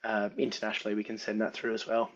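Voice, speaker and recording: A man's voice: on the low side, very fast, dull audio. A man with an Australian accent, a guest photographer chatting casually on a podcast, a bit unwell, with an easygoing, filler-heavy manner. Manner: relaxed, filler-heavy, laughs often. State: unwell, slightly under the weather.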